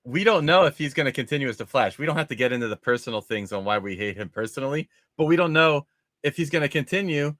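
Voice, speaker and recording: A man's voice: medium pitch, expressive, quick pace, a bit bright, no room sound, clean studio sound. A man hosting a casual film discussion, speaking informally with questioning, nitpicking exasperation. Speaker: podcast host.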